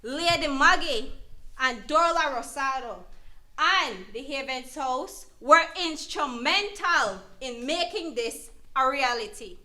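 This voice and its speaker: A woman's voice: sits high, expressive, takes it slowly, pushed and bright, full character voice. A woman playing an earnest, emphatic advocate who counsels an abused mother in an educational dramatization. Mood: proud, explanatory. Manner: emphatic, instructive. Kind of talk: educational dramatization, deliberate explanatory delivery.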